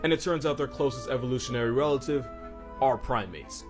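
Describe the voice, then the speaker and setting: Fast-talking wry man narrating over music. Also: medium pitch. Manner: wry, slangy, sarcastic. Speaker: animal facts narrator. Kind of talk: comedic scripted narration. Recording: music behind the voice. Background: background music.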